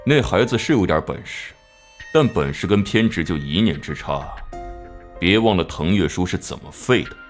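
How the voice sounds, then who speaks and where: Very deep-voiced older police chief. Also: male voice, expressive, moderate pace. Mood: shrewd, warning. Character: stern, shrewd older male police bureau chief. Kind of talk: animated crime drama voice acting. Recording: faint music under the voice.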